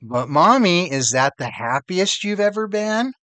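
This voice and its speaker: A man voicing a curious, affectionate little boy in a storybook, asking his mother eager questions that rise in pitch. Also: big pitch swings, a little slow, pushed and bright, no echo, exaggerated voice.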